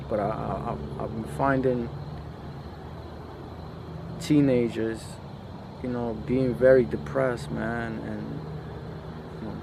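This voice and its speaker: A man from a post-Soviet country speaking in a casual, reflective monologue, halting and repeating himself, with lots of slangy filler. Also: mid-range voice, gravelly, noisy background. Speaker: podcast host.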